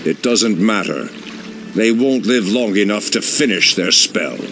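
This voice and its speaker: Deep, gravelly ancient elf druid. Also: male voice, animated, a little slow, a bit bright, a little hiss or hum. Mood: grimly resolved. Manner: solemn, theatrical, formal. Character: ancient, noble male night elf druid, solemn and passionate. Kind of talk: dramatic video game voice acting. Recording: dramatic game score underneath.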